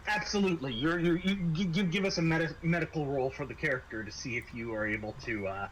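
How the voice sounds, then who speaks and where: A man with a fairly high voice acts as game master for a tabletop horror game. He improvises his narration and pauses for drama as he describes each scene. There is light noise behind him. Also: a bit bright, low-quality recording.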